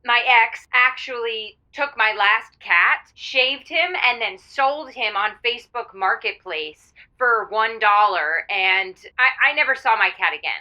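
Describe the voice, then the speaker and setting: A woman playing an excitable, oversharing, jilted young caller on a radio comedy, gushing and dramatic over a call-in line. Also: fairly high pitch, unhurried, few pauses. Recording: dull, lo-fi phone-in line.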